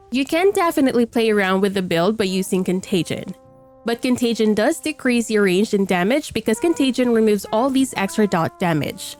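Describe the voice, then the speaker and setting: A woman's voice: fairly high pitch, a little mellow. A woman with a stuffy voice from a cold, explaining a game build in a matter-of-fact voice-over. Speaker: game guide creator.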